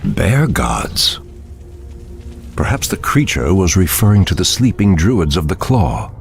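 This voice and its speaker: A man playing a grave, proud night elf archdruid in a fantasy game, gravelly and solemn, with archaic, commanding speech. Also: low-pitched, very animated, unhurried, full character voice. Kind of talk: dramatic fantasy game acting. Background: music.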